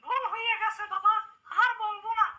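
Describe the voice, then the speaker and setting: A man voicing a shrill, pushy cartoon sidekick who fawns over a conman holy man. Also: steady tone, normal speed, bright, forceful tone, dull audio, slight background noise, full character voice. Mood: fawning.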